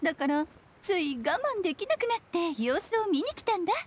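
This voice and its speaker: A woman playing a playful, mysterious, self-assured witch in an anime video game, with a teasing, unhurried delivery. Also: very high-pitched, lively intonation. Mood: serene explaining.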